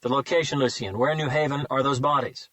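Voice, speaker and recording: A man's voice: mid-range voice, steady tone, fast, a bit bright, no room sound, far from the mic, pitched above the performer's own voice, natural voice. A man voicing a terse, insistent male detective in an audiobook. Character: firm, no-nonsense male detective.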